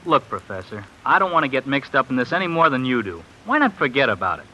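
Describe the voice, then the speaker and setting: A conspiratorial young fellow in a 1950 radio mystery. His voice is very bright and swings in pitch as he presses and persuades. Faint noise and rain sit behind him. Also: sing-song, quick pace, highs cut off. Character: insistent, scheming young man urging silence. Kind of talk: 1950s radio drama acting.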